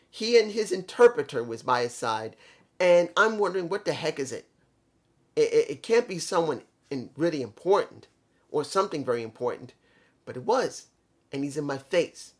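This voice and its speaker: A man giving a casual solo review of a wrestling show, rambling and correcting himself as he stumbles over names. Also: on the high side.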